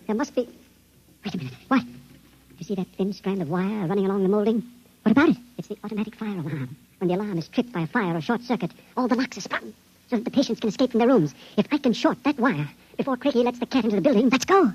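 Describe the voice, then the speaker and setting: A man's voice: high voice, animated, fast talker, a little mellow, slightly rough. A man playing an anxious five-inch-tall shrunken man in a 1950s radio drama, urgent and clipped, his voice artificially raised to sound miniature. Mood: urgent, frightened. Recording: dull, noisy 1950s radio recording.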